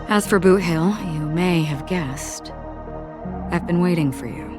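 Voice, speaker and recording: An actress playing a calm, courteous woman on the run in a game cutscene, speaking in a polite, composed way, with game music behind her. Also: deep voice. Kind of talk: video game cutscene dialogue.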